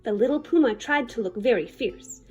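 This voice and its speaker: A woman reading a children's picture book aloud in an expressive, dramatic manner. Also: on the high side, normal speed, breathy edge, muffled recording, faint music behind. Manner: animated, dramatic. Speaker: children's story reader.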